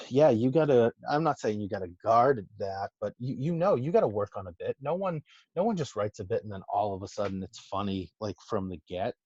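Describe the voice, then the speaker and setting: A middle-aged man with a thick Massachusetts accent talks in a low voice, rambling and cursing freely. The sound is dry and a bit dull, like a lo-fi recording. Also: fairly quick, darkish tone, slightly rough, no echo. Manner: rambling, profane, many restarts and fillers. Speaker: podcast guest, social media marketer. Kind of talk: casual chat.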